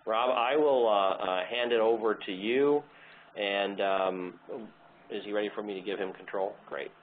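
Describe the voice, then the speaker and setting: A faintly noisy, narrow phone line carries a fairly low-voiced American man. He hosts a webinar informally. He talks haltingly and casually, with a light teasing manner. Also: fairly even intonation. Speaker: webinar host. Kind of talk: informal introduction. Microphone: phone or web conference line.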